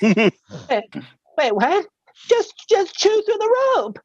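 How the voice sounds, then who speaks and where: A woman voicing a chatty, vain, cowardly little dog in an animated comedy. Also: very high-pitched, slow, no room sound, dull audio, exaggerated voice. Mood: alarmed. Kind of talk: table read of animated comedy script.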